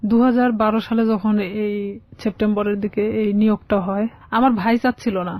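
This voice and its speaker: A woman's voice: mid-range voice, fairly even intonation, fairly quick, muffled recording. A young woman with a Bangladeshi Bengali accent telling a personal life story as a guest in a radio interview. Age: young adult.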